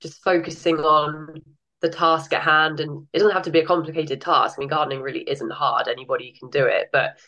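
A woman's voice: low-pitched, steady tone, a little fast, sharp tone, poor audio. Nasal woman with a cold. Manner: enthusiastic, laughs often. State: has a cold, a bit nasal. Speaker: guest. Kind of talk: casual interview chat.

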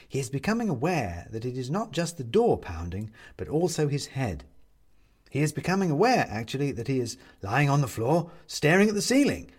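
A man narrating a scripted story as an audiobook reader, in a wry, measured manner. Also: medium pitch, big pitch swings, a little mellow, slightly rough.

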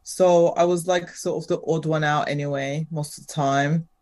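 A woman's voice: deep voice, fairly even intonation, normal speed, a little mellow. Hesitant woman from Turkey, fillers. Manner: hesitant, many fillers and restarts. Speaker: guest. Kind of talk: casual podcast chat.

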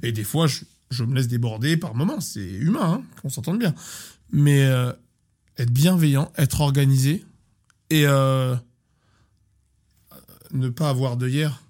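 A man in his thirties, a sports coach, talking casually in an interview, animated and often repeating and restarting words. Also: medium pitch, measured pace, long pauses. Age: 30s.

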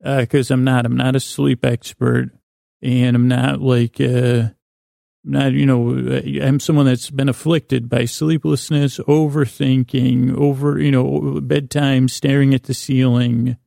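A man hosting a bedtime podcast in a rambling, halting solo monologue, self-deprecating and whimsical, with a gravelly voice, close on the mic. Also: medium pitch, little pitch movement, warm tone, no echo. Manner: meandering, halting, repeats and loses his thread. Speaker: podcast host.

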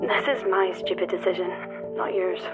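A woman's voice: mid-range voice, fairly even intonation, moderate pace, natural voice. An actress playing Kiri, an anxious young woman who stammers as she talks about leaving the city. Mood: tender. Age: young adult. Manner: stammering, restless, nervous. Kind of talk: audio drama acting. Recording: tinny phone line with music.

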